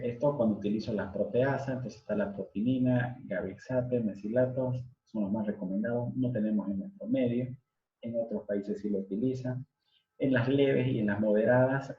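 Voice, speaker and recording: A man giving a medical lecture, explaining step by step and checking in with his students as he goes. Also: mid-range voice. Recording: dull echoey room recording.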